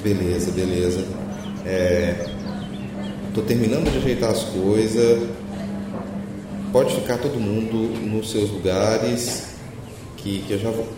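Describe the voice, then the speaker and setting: A man's voice: on the low side, slow, long pauses, very noisy. A Brazilian man talking informally and wryly as a podcast host, in a casual, lightly comic style. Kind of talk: casual podcast hosting with scripted comic skit.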